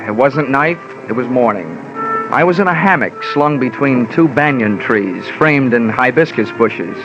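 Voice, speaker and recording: A man acting as a hard-boiled American investigator from a vintage radio mystery. His sardonic delivery swings widely in pitch, milking every wisecrack. The recording is old and dull, with music clearly behind him. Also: lively intonation, moderate pace, natural voice. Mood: dreamy, wry. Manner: wry, sardonic, hammed-up delivery. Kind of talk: old-time radio detective drama acting.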